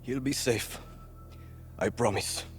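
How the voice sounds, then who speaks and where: An actor playing a tough, passionate young guerrilla in a game, blunt and earnest. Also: male voice, medium pitch, slow, lightly acted. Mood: firm, resolute. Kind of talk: dramatic video game acting. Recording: loud music behind.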